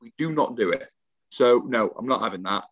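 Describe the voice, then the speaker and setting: A young English man co-hosting a podcast in casual chat, ranting with animated, emphatic energy. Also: medium pitch, a little fast, no room sound. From England. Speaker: podcast co-host. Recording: dull dry home mic.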